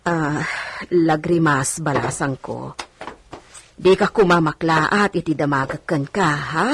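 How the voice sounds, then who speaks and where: A woman's voice: low voice, slow pace, a little hiss or hum. A woman playing an anxious, persistent older village servant in a radio drama, earnest and coaxing.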